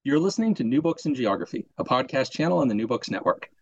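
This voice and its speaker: Fast-talking male host, recorded dry and dull over a remote line. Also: mid-range voice, sing-song, husky, no room sound. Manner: brisk scripted host intro. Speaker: podcast host. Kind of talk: interview podcast.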